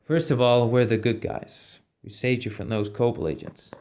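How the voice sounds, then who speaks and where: A man acting a calm, reassuring leader of a covert team in an amateur audio drama. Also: mid-range voice, moderate pace, natural voice.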